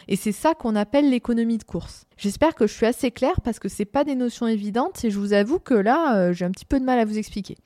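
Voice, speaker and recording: A woman's voice: fairly high pitch, a little mellow, no echo, clean studio sound. A young woman hosting a running show, explaining things in a casual, thinking-aloud way with frequent hesitations and restarts. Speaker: running podcast host.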